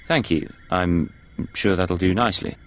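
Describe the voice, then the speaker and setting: An actor playing a cool, professional undercover agent in a video game, curt and controlled. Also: male voice, sits low, measured pace. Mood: measured.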